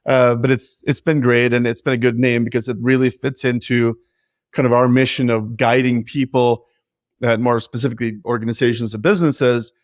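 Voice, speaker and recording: A man's voice: medium pitch, little pitch movement, moderate pace, dry recording, clean studio sound. A man in his forties who grew up in Norway, talking candidly and with self-deprecating humor as a guest in an interview. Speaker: guest, business founder. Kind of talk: casual interview.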